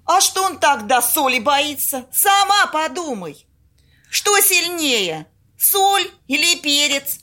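A woman's voice: high-pitched, very animated, slow pace, sharp tone, full character voice. A woman acting a brash, cheeky character in an amateur audio play, bantering in a theatrical, colloquial way. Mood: cheeky, argumentative.

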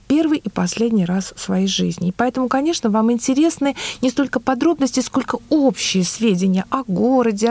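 A middle-aged woman from Russia speaking as a radio interview guest, telling stories in an animated, emphatic conversational manner. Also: fairly high pitch, dry recording. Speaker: guest, museum worker and tour guide.

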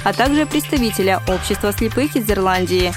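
A woman's voice: medium pitch, lively intonation, brisk. Woman radio host reading a scripted feature, music playing behind her. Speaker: radio presenter. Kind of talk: scripted news feature narration.

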